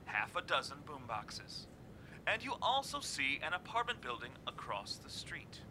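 A man's voice: fairly high pitch, huge pitch swings, unhurried, background noise. A man playing a taunting game master in an audio drama, announcing in a controlled, theatrical way. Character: taunting male game master heard on recorded message.

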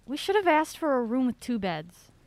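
A woman's voice: high voice, sing-song, moderate pace, a little hiss or hum. An actress playing a wry, guarded woman on an anxious road trip, dry and sardonic, in an audio drama.

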